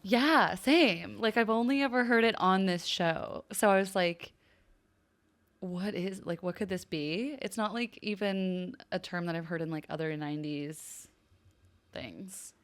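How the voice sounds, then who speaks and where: Female podcast co-host, mid-pitched, conversational and effusive. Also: unhurried. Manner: effusive reactions, gushing. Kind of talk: casual TV recap podcast chat.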